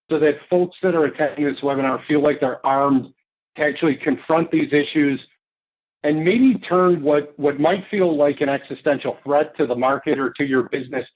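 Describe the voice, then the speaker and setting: A man talks through a webinar presentation in a higher-pitched voice, chatty and unscripted, with fillers. His voice comes through a narrow, tinny, phone-like line. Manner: talkative, loose, with fillers and laughs. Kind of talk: relaxed conversational presentation.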